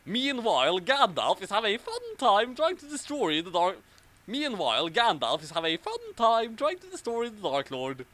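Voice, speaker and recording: Male amateur reader, lively swinging intonation, mock-epic storytelling with wry commentary. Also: high voice, moderate pace. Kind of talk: mock-dramatic reading of bad fan fiction with casual asides.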